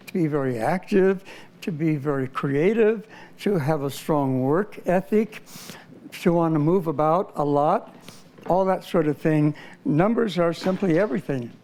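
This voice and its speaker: A man's voice: on the high side, darkish tone. A man being interviewed as a numerologist, earnestly explaining ideas step by step with some fillers. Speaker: numerologist guest.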